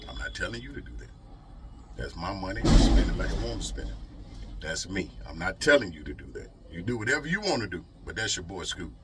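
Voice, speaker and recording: A man's voice: low voice, sing-song, fast talker, noisy background. A man talking casually as a trucker vlogger, giving advice in an emphatic, folksy way with repeated rhetorical questions. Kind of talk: motivational advice vlog, casual talk.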